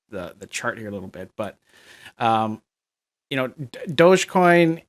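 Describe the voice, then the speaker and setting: A man's voice: medium pitch, a bit bright. A middle-aged man from Minnesota with a Minnesotan accent, talking through price charts with lots of fillers and restarts. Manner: rambling, many fillers and restarts. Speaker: market analyst. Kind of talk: chart analysis commentary.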